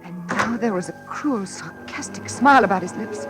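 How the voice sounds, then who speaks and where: An actress playing a frightened, haunted young woman in 1940s radio drama, breathless, anguished and halting. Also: mid-range voice, lightly acted. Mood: bitter dread. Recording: vintage radio recording with music behind.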